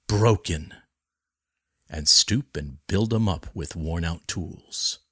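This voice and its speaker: A man giving a solemn, deliberate dramatic recitation in the style of a poetry reading, with emphatic pauses. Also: lowish voice, a bit bright, no room sound. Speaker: poetry reader. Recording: close dry voice over background music. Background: music.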